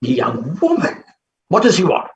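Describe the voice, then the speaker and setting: An actor playing an energetic, impetuous, genially bullying phonetics professor in a staged play reading, with brisk, stammering starts. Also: male voice, sits high. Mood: instructive, brisk. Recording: echoey, dull, noisy video-call audio.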